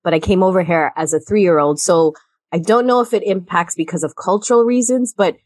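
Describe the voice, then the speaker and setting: A woman co-hosting a podcast, talking in a measured, earnest way. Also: on the low side, a little mellow, dry recording, studio quality. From Guyana. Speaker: podcast co-host. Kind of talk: casual chat.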